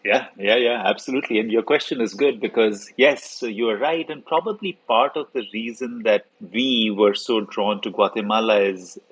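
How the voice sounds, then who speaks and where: A man's voice: lowish voice, moderate pace, few pauses, fairly bright tone, husky, low-quality recording. A man hosting a podcast interview, talking in an enthusiastic, effusive way. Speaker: podcast host. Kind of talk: interview conversation.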